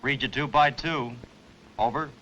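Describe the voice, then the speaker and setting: A man making crisp, procedural calls as a radio station operator on a two-way radio. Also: medium pitch, a little slow, bright, forceful tone. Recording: dull vintage radio, faint noise.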